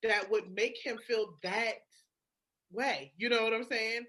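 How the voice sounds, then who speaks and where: Woman, higher-pitched bright voice, expressive and unfiltered, casual slangy podcast conversation. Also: unhurried. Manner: expressive, swearing freely, talks in casual slang. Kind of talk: candid casual chat.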